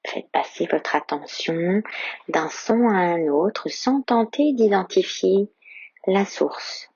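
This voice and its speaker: A woman leading a guided yoga nidra meditation as a yoga teacher, slow and soft-spoken, slipping into whispers. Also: mid-range voice, expressive, slightly rough, dry recording, highs cut off.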